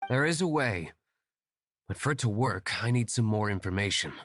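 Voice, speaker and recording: An actor playing a calm, aloof young winery owner who works as a secret vigilante, measured and reserved. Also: male voice, on the low side. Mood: cool. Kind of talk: game voice acting.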